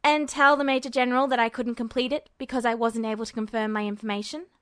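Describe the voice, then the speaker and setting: A woman voicing a brash, impatient young alchemist who talks quick and defiant, in a fan audiobook reading. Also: a little high, steady tone, no room sound, clean studio sound, lightly acted.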